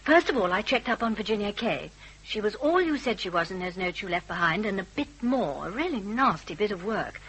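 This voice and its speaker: A woman's voice: medium pitch, big pitch swings, brisk, a bit bright, slightly rough, heavily acted, rough recording. A woman playing a breezy British detective's assistant in a radio drama, chatty and flippant, with wry theatrical exaggeration. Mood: gossipy, triumphant.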